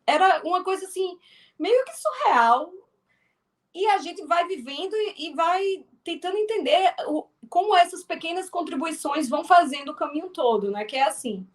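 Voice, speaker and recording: A woman's voice: extremely high pitch, animated, moderate pace. A woman from Northeast Brazil with a strong Northeastern accent, a filmmaker and actress chatting as an interview guest, with many restarts. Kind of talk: casual interview talk.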